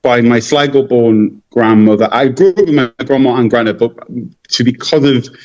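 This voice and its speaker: A man's voice: mid-range voice, moderate pace, darkish tone, dull audio. A man with a North-East English accent telling stories casually as an interview guest, rambling and often repeating his words. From North-East England. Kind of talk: casual conversational storytelling.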